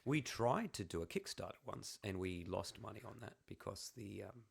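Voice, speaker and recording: A man co-hosting a laid-back podcast with a very low, gravelly voice. He is hoarse and half losing his voice. He chats along in a wry, blunt, self-deprecating way. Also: lively intonation, normal speed. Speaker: podcast co-host. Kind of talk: casual chat.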